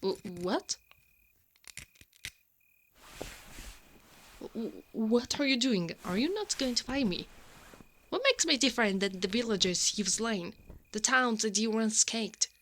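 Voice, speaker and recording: A woman's voice: a little high, lively intonation, normal speed, a bit bright, slight background noise. Brash, protective woman knight, acted by a woman. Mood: incredulous. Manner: defiant and exasperated, slipping into flustered stammers. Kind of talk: ASMR roleplay acting.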